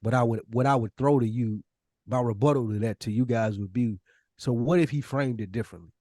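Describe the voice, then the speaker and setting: A man's voice: medium pitch, brisk, warm tone, no echo, studio quality. An American man hosting a casual discussion, informal and probing in the way he puts points across. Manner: probing, informal, poses hypotheticals. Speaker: podcast host.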